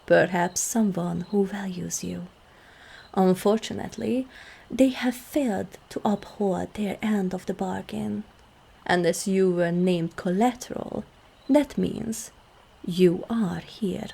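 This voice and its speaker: A woman playing a seductive, regal demon queen in an ASMR roleplay, calm and commanding, with slow, formal speech that often drops into breathy whispers. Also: mid-range voice, exaggerated voice. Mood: composed, reassuring. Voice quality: breathy, husky.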